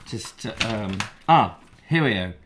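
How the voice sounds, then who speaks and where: A man's voice: lowish voice, expressive, measured pace, a bit bright, a little hiss or hum. A man co-hosting a casual chat show, joking in a silly, rambling, playful way. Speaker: co-host.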